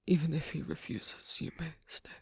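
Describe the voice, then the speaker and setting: Man acting as worried fantasy royal, ASMR roleplay, husky gravelly whisper, close dry sound, halting, formal, gentle. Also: fairly high pitch, fairly even intonation, no echo, heavily acted. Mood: kind, hesitant. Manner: halting, hushed, careful, formal phrasing.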